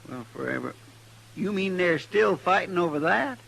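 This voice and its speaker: An actor playing a puzzled, grumbling deputy with a rural American drawl in an old radio western. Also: male voice, high-pitched, expressive, normal speed, creaky, a bit bright, heavily acted. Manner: hesitant, folksy, grumbling. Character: folksy, complaining, puzzled deputy sidekick to a marshal. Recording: noisy old radio recording.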